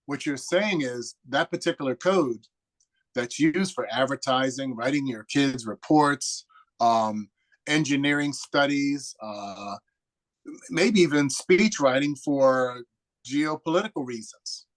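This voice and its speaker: A man speaks at a middle pitch in a relaxed group discussion. He sums up points for the others in a steady way. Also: slow pace.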